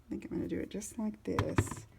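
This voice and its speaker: A woman's voice: mid-range voice, steady tone, gravelly, dark tone, husky. A woman giving a casual step-by-step card-making tutorial, talking as she works and stopping now and then to look for her supplies. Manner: unhurried, talks while working, stops to search for supplies.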